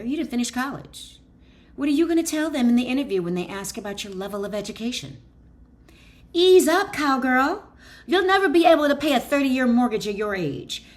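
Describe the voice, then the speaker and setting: A woman's voice: sits high, voice pushed up. A woman voicing a scolding, sassy inner critic in a folksy, dismissive, nagging manner during a book reading.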